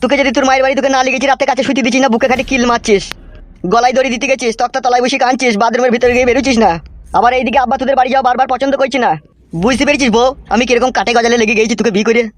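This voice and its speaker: A man's voice: very high-pitched, steady tone, very fast, no room sound. A man voicing a crude, henpecked young husband who complains in exasperation in a comedy cartoon, with a rural West Bengal accent. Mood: wretched complaining.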